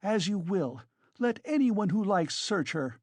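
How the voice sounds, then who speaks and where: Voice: mid-range voice, sing-song, unhurried, darkish tone, dry recording, studio quality, voice pushed up. A narrator voicing a frantic, furious, proud woman in a dramatised audiobook, shrieking and exclaiming. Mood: defiant. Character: hysterical, furious, proud wasted woman defending a girl.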